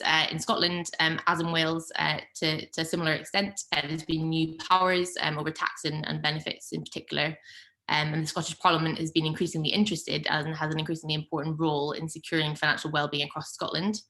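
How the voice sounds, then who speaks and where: A woman's voice: sits low, little pitch movement, fast talker, sharp tone. A young woman giving an online seminar talk over a video call, hesitant with frequent ums and uhs. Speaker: invited seminar speaker, policy researcher. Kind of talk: presenting slides in an online seminar.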